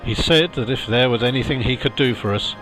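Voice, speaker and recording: A man playing a weary, kind, poor father in a storybook reading, speaking gently and earnestly. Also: mid-range voice, brisk, close to an everyday voice. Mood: grateful, weary. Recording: music behind the voice.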